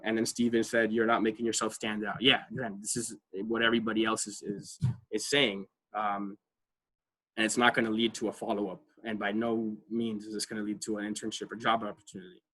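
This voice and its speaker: A man with a bright, forceful voice and a slightly low pitch runs an online advising class from his computer mic. He talks casually, leans on fillers and keeps asking "right?" to check in with his listeners. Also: rough recording. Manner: conversational, many fillers, frequent "right?" checks. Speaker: college advising workshop presenter. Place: online video call.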